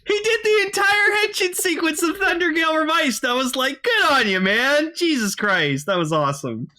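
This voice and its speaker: A man's voice: extremely high pitch, sing-song, moderate pace, sharp tone. A man co-hosting a podcast of casual fan chat, gushing and swearing freely. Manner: profane, gushing fan enthusiasm. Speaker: podcast co-host.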